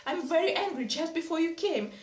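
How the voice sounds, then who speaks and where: Woman doing a bossy noble mother, scolding, exclamatory, high voice, roomy echo. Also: lively intonation, fairly quick, darkish tone. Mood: brisk. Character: fussy, quick-tempered noblewoman, a mother of three daughters.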